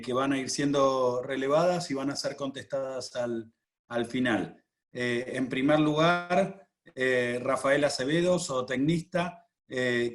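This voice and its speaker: Man, webinar moderator, formal introductions, frequent fillers, repeated words, medium pitch.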